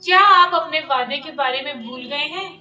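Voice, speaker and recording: A woman voicing a feisty, bargaining male heron in an animated children's fable. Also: high voice, moderate pace, faint music behind. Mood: indignant. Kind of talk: children's cartoon voice acting. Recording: distant, echoey voice recording.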